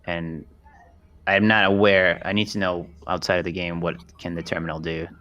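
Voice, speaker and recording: Low-voiced man at a tabletop game. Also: flat delivery, normal speed, pushed and bright, muffled recording. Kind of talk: casual game chat.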